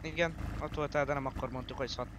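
Male gamer under clear background noise. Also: medium pitch, steady tone, fairly bright tone. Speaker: fellow player in an online roleplay game.

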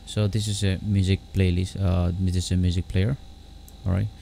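A man with a very low voice walks through a computer program in a casual, halting way. He repeats himself and keeps checking in. His tone is fairly bright, over faint background noise. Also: little pitch movement, gravelly. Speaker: software tutorial host. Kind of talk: casual screen-capture tutorial.

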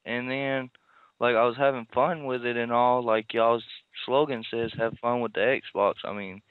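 A man's voice: mid-range voice, fairly even intonation, moderate pace, smooth, dry recording. A man talking in a slangy, rambling way as a prank caller on a casual phone call. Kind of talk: casual phone call, feigning a tech problem. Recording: muffled narrowband phone call.

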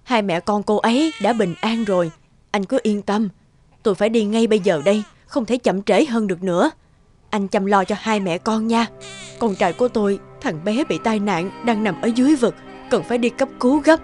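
A woman's voice: mid-range voice, normal speed, close to an everyday voice. A woman playing a kindly middle-aged mother and doctor in a cartoon drama, earnest and emotional. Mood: worried, hurried. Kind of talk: dubbed cartoon drama acting. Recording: loud music behind the voice.

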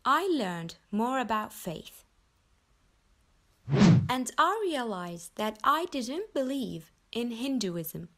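A young woman from Nepal reading her personal story aloud in an earnest, measured way. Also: fairly high pitch, big pitch swings, a bit bright. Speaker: convert telling her story. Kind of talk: scripted personal narration.

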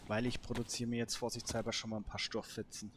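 A man's voice: fairly low pitch, flat delivery, quick pace. A man giving casual, colloquial let's play commentary, talking half to himself and half to the game. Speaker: gamer and host of a let's play series. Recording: noisy home recording with clicks.

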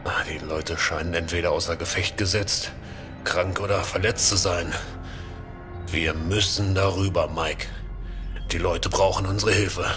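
Deep-voiced male ship's doctor played by a man. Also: steady tone, a little slow, over music. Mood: concerned. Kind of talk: audio drama acting in a sci-fi thriller.

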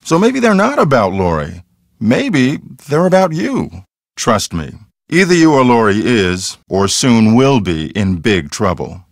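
A man's voice: medium pitch, very animated, a little slow, no room sound, clean studio sound. An actor playing an earnest, self-assured male ghost hunter in a mystery video game, explaining things in a matter-of-fact, conversational way. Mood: wry, measured. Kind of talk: acted video game dialogue.

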